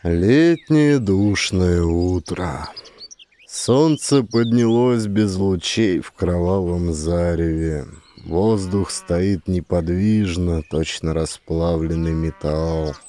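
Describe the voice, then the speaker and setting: Low, unhurried male storyteller. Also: dark tone, a little hiss or hum. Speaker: actor, audiobook narrator. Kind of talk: scripted literary narration.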